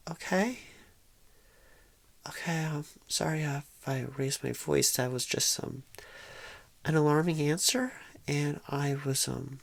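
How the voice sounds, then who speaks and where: Casting: female performer as bashful rookie recruiter for ASMR roleplay; low, slow, creaky, awkward and stammering. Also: no echo, full character voice. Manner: halting, stammering, apologetic, awkward. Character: painfully shy, nervous, inexperienced job recruiter on first day. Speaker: job interviewer. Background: computer keyboard typing.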